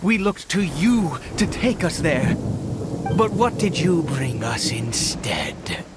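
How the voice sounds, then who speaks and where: Slow, theatrical fanatical nobleman. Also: fairly high pitch, very animated, over loud music. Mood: bitter, reproachful. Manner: theatrical, brooding, swinging from hushed to exultant. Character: fanatical aging nobleman obsessed with the Abyss. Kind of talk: dramatic video game acting. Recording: storm and rain noise behind.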